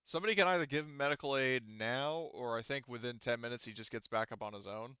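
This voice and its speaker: A man running a tabletop roleplaying game, narrating casually and haltingly, often breaking off and restarting. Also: mid-range voice, bright, forceful tone, smooth, no echo, muffled recording. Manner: halting, frequent self-interruption. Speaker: game master.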